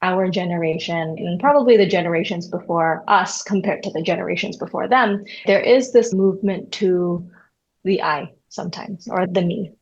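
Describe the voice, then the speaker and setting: Thoughtful woman therapist, lowish voice. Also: normal speed, husky, highs cut off. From Indonesia. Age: young adult. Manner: thoughtful, searching, with many fillers and "right?". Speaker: therapist, podcast host. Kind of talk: reflective conversational interview.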